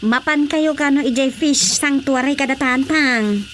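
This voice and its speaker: A woman playing a brisk, sceptical village woman in a radio drama. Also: sits high, fairly bright tone, slight background noise. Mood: scolding.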